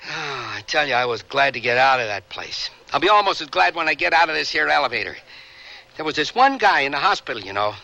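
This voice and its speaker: A haunted, volatile Vietnam veteran in a radio play. His voice is on the high side, bright and forceful. He talks in rough working-class slang, bitter and quick to get defensive. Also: very animated, no echo, full character voice, low-quality recording. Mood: sardonic, agitated. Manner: rough colloquial speech, defensive. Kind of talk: radio drama acting.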